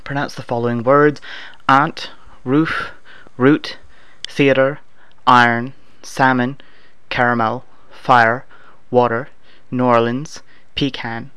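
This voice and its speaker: A relaxed Canadian man in his thirties talks through a list of words and questions with an offhand, dry humor, dropping plenty of uhs. His voice sits at an ordinary pitch and comes across smooth and clear, with a dry, close sound and hardly any room around it. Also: takes it slowly, dry recording, low-quality recording. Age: 30s. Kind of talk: casual accent-tag recording, reading prompts aloud. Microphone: no proper microphone, home setup.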